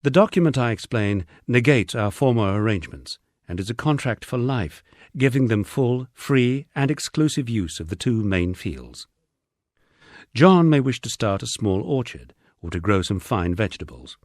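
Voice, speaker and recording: A middle-aged British man reading a scripted first-person memoir as an audiobook, in a measured storytelling way with dry understatement. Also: mid-range voice, big pitch swings, darkish tone, no echo. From United Kingdom. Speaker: audiobook narrator.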